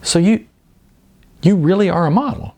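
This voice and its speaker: Man as intense woman, pitched up, emphatic. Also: normal speed, warm tone. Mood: astonished. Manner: earnest, emphatic. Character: intense, frustrated woman, aspiring actress. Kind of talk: audiobook character dialogue.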